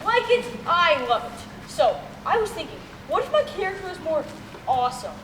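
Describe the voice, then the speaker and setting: Young woman as goofy cocky amateur actor, very high animated voice, exuberant, distant echoey stage. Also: moderate pace, noisy background. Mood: cocky, excited. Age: teenager. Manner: exuberant, self-important. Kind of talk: amateur stage comedy acting.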